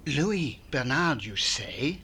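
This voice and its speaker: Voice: medium pitch, exaggerated voice. An actor playing a polite, dithering, eccentric taxidermist in a 1950s-style radio comedy, speaking hesitantly. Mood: startled, wary. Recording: loud background noise.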